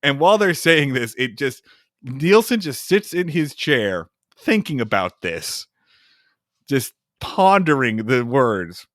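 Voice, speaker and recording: Podcast co-host, male, lively comic storyteller who slips into goofy voices; dry, close sound. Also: medium pitch, slow, no room sound. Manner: animated, acts out scenes in funny voices, swears. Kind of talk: casual chat recapping a film.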